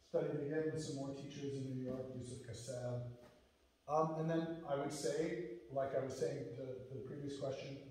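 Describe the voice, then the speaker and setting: A man's voice: mid-range voice, darkish tone. A middle-aged American man, a lecturer and musician, answering audience questions in an earnest, thoughtful way, often restarting his phrases. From United States. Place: lecture hall. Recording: echoey hall, distant mic.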